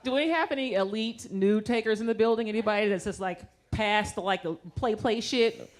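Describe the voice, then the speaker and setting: A woman performing a stand-up comedy set on stage before a live audience, brash and punchy, and quick to break into acted-out quotes. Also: mid-range voice.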